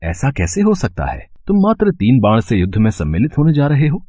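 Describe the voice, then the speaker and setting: A man voicing a calm, shrewd, majestic god in a mythological story, with measured, formal speech. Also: medium pitch, husky, dry recording. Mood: shrewd, questioning. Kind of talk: scripted mythological storytelling drama.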